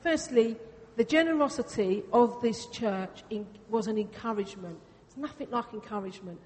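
Greek-born woman preacher, chatty, repeats herself for emphasis, higher voice, long echo of a church hall through the PA. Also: normal speed, a little mellow, breathy edge. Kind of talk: sermon. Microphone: venue sound system.

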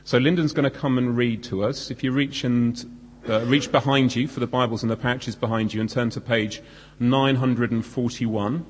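Man, 40s, croaky voice, sermon, middle pitch, long reverb. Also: fairly even intonation, moderate pace. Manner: self-deprecating, conversational. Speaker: preacher. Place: church hall.

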